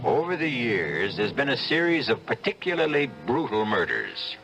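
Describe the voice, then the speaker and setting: An actor as a wry, ominous host narrating an old-time radio mystery, deliberately addressing the listener. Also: male voice, medium pitch, huge pitch swings, muffled recording. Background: music.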